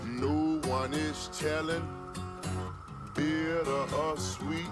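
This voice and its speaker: A woman's voice: low voice, steady tone, extremely slow. A woman singing a folk song over guitar. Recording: loud guitar music.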